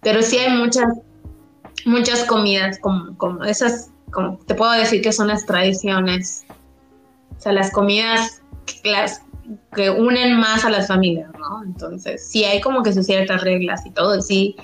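A woman's voice: medium pitch, fairly even intonation, moderate pace, roomy sound, soft background music, low-quality recording. A woman from Yucatán being interviewed, talking casually and rambling with many restarts and fillers. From Mexico, Yucatán.

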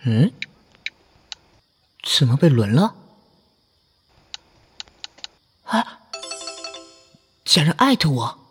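A man's voice: on the high side, big pitch swings, slow, in a large hall. A man playing a flustered young college student in an audio drama, easily exasperated, with a hesitant, sighing delivery. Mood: exasperated, puzzled. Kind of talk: Chinese online audio drama acting. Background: faint music.

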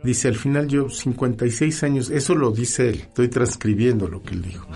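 A man's voice: mid-range voice, normal speed, dark tone. A man talking conversationally as a guest on a talk show, recounting and quoting.

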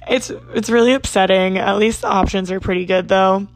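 A woman in her twenties from South Jersey, hosting a podcast in a rambling, chatty monologue, her voice hoarse and raspy. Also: mid-range voice, steady tone, brisk, no echo. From South Jersey, United States. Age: 20s. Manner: rambling, lots of "like" and asides. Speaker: podcast host.

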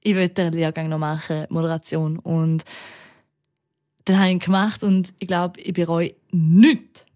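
Lachende Frau mit St. Galler Dialekt. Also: female voice, fairly low pitch, fairly even intonation, a little slow, mellow. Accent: St. Gallen Swiss German. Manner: enthusiastic, laughs often. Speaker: radio presenter. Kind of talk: casual podcast chat.

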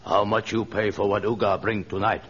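An actor playing a frightened, gullible jungle tribesman in an old radio adventure, humble and pleading in halting, broken speech. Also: on the low side, little pitch movement, a bit bright, husky. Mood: humble, awed. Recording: dull old radio recording.

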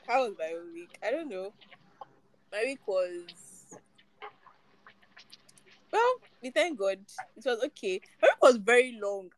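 A woman's voice: medium pitch, rough recording. Young woman, playful podcast host, from Nigeria, teasing. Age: young adult. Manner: playful, teasing, quick to laugh. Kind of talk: casual chat.

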